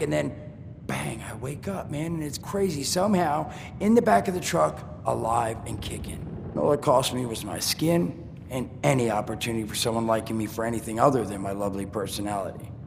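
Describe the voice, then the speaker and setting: Sly ghoul hustler, gravelly, streetwise drawl, game audio with long reverb and noise. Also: male voice, mid-range voice. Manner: joking, salesman-like, streetwise drawl. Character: wisecracking male ghoul trader, sly and scarred. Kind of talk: video game dialogue.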